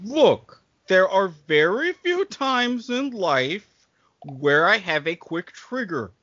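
High voiced podcast guy, super animated showman banter, stammers a bit, kinda dull dry audio. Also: takes it slowly, dry recording. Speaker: podcast host. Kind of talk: casual comedic podcast banter.

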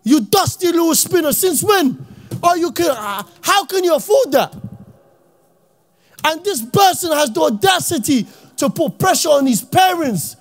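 A man from Iraq, giving an impassioned, slang-heavy talk to young people as an Islamic speaker and often shouting. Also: very high voice, expressive, unhurried. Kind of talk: impassioned motivational talk to youth.

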